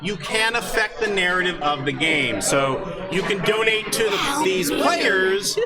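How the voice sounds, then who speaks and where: A man hosting a live game show as game master, speaking off the cuff in a halting, joking way. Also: high voice, sing-song, loud music behind the voice. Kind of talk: unscripted live stage introduction. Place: convention hall with live audience. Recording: loud crowd, live convention stage.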